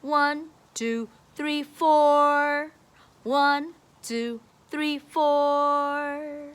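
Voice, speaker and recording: A woman's voice: high-pitched, fairly even intonation, drawn-out words. A woman teacher reading aloud and leading counting for young children in a sing-song, encouraging, teacherly way. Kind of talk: animated children's read-aloud and counting lesson.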